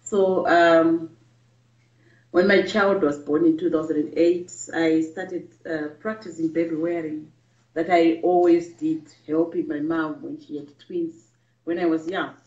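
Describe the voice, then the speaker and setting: Woman, forties, from Zimbabwe, earnest vlog talk, halting with ums, muffled roomy audio. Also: low-pitched, steady tone, a little mellow. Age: 40s. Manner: earnest, frequent ums and pauses. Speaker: vlogger and babywearing teacher. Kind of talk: personal storytelling to camera. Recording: muffled, echoey room audio.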